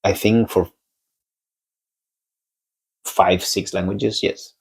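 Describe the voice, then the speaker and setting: A young man from Spain with a Spanish accent, a podcast guest talking in a relaxed, halting way and laughing often. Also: low-pitched, creaky, breathy edge. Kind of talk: casual conversation.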